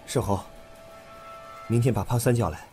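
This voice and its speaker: A man acting in a Chinese audio drama as an earnest, thoughtful young man, expressive and musing. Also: mid-range voice, moderate pace, faint music behind, close to an everyday voice. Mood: resolved.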